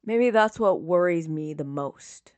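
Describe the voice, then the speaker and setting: Woman voicing a low, slow, troubled young man. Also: lively intonation, a little mellow, no echo. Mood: quiet, earnest.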